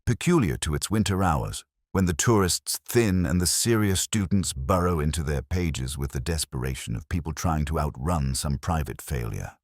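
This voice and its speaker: A man with an extremely deep voice narrates a literary mystery in the first person. His delivery is measured and brooding, turned inward like private reflection. The sound is very dry, with no room around the voice. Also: expressive, dry recording.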